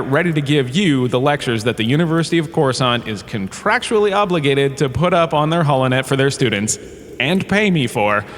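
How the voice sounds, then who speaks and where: A man's voice: medium pitch, fairly bright tone, natural voice. Man playing rambling self-important lecturer in echoing space. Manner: rambling, breezy, self-excusing. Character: washed-up, self-important holodrama star turned galactic history lecturer. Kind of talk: comedic in-universe lecture monologue in audio drama. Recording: cavernous reverb with faint background noise.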